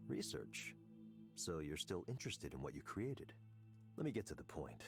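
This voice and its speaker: Brief: cool, needling tech executive, very low voice, lively inflection, faint game music behind. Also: male voice, heavily acted. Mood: cool, probing. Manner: calm, measured, quietly needling. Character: smooth, probing male tech executive. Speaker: game character.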